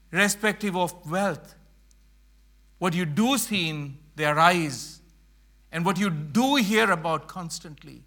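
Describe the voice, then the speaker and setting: A middle-aged man from Sri Lanka speaks to a church gathering in an earnest, emphatic way. His voice sits high and sounds bright and forceful. He slows down and leans on key phrases. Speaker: mission speaker. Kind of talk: church presentation.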